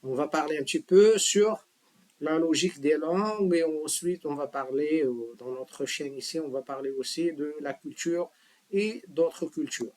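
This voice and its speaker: A man's voice: a little high, measured pace, a little mellow. A middle-aged man giving a casual spoken lesson to his viewers. He switches easily between languages and leans on emphatic rhetorical questions. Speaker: language teacher, channel host.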